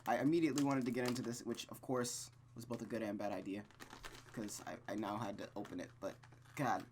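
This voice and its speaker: A man's voice: medium pitch, fairly even intonation, fairly quick, noisy background. A man reading a visual novel aloud as host and breaking off into rambling, self-deprecating commentary, stammering often. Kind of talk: let's play reading with casual commentary.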